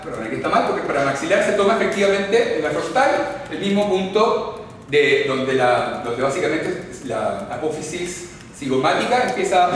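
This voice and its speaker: A man's voice: sits high, slight background noise. A man from Chile with a Chilean accent giving a live lecture, explaining step by step and often checking in with his audience. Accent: Chilean Spanish. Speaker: orthodontics lecturer. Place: lecture hall. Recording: echoey hall recorded from far off.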